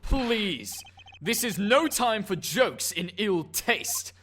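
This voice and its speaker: A man's voice: sits high, lively intonation, slow, fairly bright tone, soft background music, exaggerated voice. A man voicing a haughty, indignant prosecutor, formal and scornful, in a dramatic courtroom video game fan dub. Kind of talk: video game fandub voice acting.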